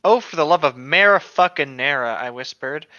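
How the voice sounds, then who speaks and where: A man's voice: a little high, lively intonation, normal speed, muffled recording, very noisy. A man co-hosting casually, bantering and playfully reading aloud. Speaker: podcast co-host reading fan fiction aloud.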